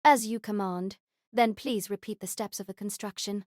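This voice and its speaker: A woman's voice: mid-range voice, steady tone, normal speed, a little mellow, no room sound, close to an everyday voice. A dutiful female knight, acted by a woman for an audio drama. She is formal, protective and earnest, recorded close and dry with no room sound. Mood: apologetic, deferential. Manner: formal, deferential.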